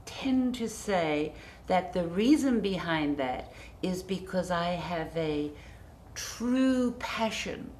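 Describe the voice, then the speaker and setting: A middle-aged woman, a design guest on a TV studio interview, speaking graciously with effusive, drawn-out emphasis. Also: medium pitch, a little mellow, slight background noise. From New Zealand. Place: TV studio set.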